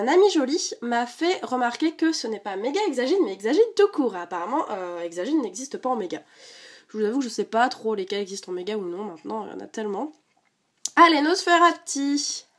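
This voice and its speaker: Chatty woman with a head cold. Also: fairly high pitch. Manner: chatty, many fillers, rattles off card names. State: stuffy from a cold. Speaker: card collecting vlogger. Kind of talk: casual unboxing chat.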